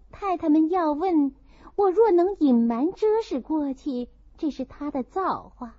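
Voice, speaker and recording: A woman's voice: very high-pitched, warm tone. A woman voicing a meek, easygoing young noblewoman in an audiobook, mild and unconcerned. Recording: dull lo-fi dry recording.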